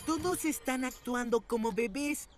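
A woman's voice: high-pitched, unhurried. A woman voicing a confident, brave young boy hero in a Latin American Spanish cartoon dub. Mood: confident, resolute. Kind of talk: animated cartoon dub acting. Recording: loud music under the voice.

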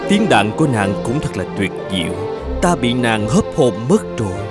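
An actor playing a self-important, lovestruck middle-aged emperor in an animated fairy tale, stately and doting in manner. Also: male voice, mid-range voice, moderate pace, close to an everyday voice. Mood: smitten. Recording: loud music behind the voice.

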